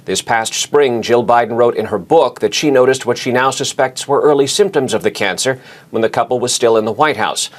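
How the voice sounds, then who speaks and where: Measured man reading the news. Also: mid-range voice. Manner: measured, even delivery. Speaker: news reporter. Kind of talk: scripted news narration.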